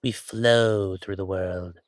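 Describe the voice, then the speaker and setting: A man playing a bossy, playful yet sentimental ghost roommate in a conversational roleplay audio drama, with animated, teasing banter. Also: low-pitched, slow, dry recording, clean studio sound. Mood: excited, teasing.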